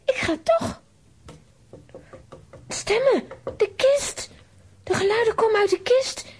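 A woman's voice: very high voice, takes it slowly, slight background noise. A woman playing a plucky, curious, easily frightened little girl in a children's audio drama, with a dramatic, exclamatory delivery. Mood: frightened, determined.

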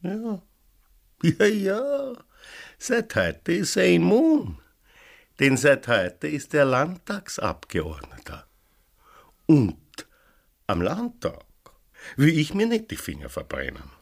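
A man's voice: fairly high pitch, wildly swooping pitch, takes it slowly, vocal fry, pauses often, full character voice. An actor playing an evasive, blustering small-town policeman with an Austrian accent in a radio drama. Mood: evasive, smug. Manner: stalling, dismissive, blustering.

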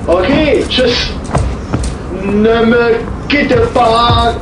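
A man's voice: very high voice, very slow, exaggerated voice. A man playing a carefree, self-satisfied airline passenger in a radio play, speaking in exclamations. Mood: self-pleased, exclaiming. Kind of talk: improvised radio play dialogue. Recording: echoey small cabin, distant, noisy.

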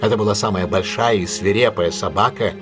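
An actor playing a brilliant, assured detective who lays out a case in a deliberate, emphatic, analytical way in an audio drama. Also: male voice, on the low side, music in the background, lightly acted. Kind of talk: audio drama dialogue, expository monologue.

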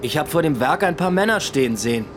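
A man's voice: a little high, animated, fast talker, slight background noise, natural voice. A man playing a dry, probing police detective in a radio play, terse and wry. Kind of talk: radio drama dialogue.

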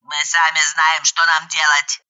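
Woman acting a low, disgusted emergency dispatcher. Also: level tone, a little slow, sharp tone, clear, resonant tone, lo-fi sound, full character voice. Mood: indignant. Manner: squeamish, curt, indifferent drawl.

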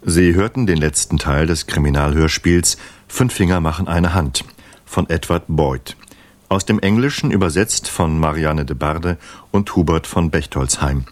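Male radio announcer, low pitch, formal scripted delivery, close dry sound. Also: normal speed, no room sound.

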